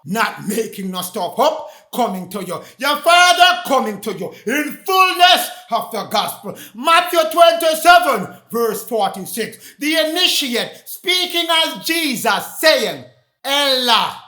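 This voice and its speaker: A man delivering a fervent, confrontational sermon, emphatic and repetitive, addressing his listeners directly and often raising his voice to a shout. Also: very high-pitched, very animated, takes it slowly. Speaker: preacher. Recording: echoey, roomy sound.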